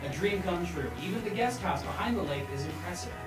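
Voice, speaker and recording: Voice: mid-range voice, expressive, fairly quick. A person casually reading stage directions at a comedy table read, stumbling and adding amused asides. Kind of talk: scripted narration, read casually with improvised asides. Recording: echoey room, distant mic, loud music behind.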